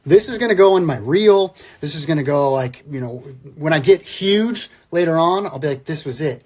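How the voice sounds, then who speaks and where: A man's voice: on the high side, lively intonation, normal speed, a little mellow. A man reciting a poem theatrically and breaking into casual, irreverent joking asides. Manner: wry, irreverent, theatrical when reading verse. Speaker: guest reader, comedic poem reciter. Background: instrumental Christmas music.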